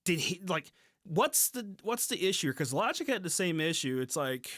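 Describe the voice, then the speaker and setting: A man's voice: a little high, big pitch swings, normal speed, pushed and bright, no echo, clean studio sound. A man in a casual podcast conversation, thinking aloud informally as co-host.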